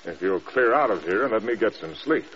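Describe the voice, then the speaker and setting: A man's voice: lowish voice, animated, fairly quick, over loud music, lightly acted. An American man playing a driven, terse air force general in an old radio drama. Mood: weary, terse. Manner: terse, commanding. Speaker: lead actor. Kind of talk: mid-century radio drama acting. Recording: muffled old radio broadcast, loud aircraft noise.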